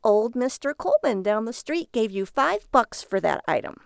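A woman's voice: fairly high pitch, very animated, normal speed, creaky, smooth, no room sound, studio quality. A woman voicing an irritated, sarcastic, weary wife in a story, with an exasperated, scolding delivery. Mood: exasperated, nagging.

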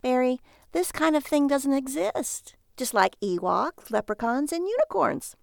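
A woman's voice: on the high side, animated, normal speed, vocal fry, smooth, dry recording, clean studio sound, pitched above the performer's own voice. A woman voicing an irritated, sarcastic, weary wife in a story, with an exasperated, scolding delivery. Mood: scornful.

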